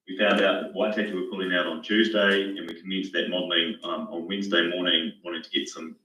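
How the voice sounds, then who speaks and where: A man recovering from a cold, his voice hoarse, reporting cautiously to a council meeting with many restarts. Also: low voice, bright, forceful tone. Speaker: financial modelling consultant. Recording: roomy, echoey, distant from the mic.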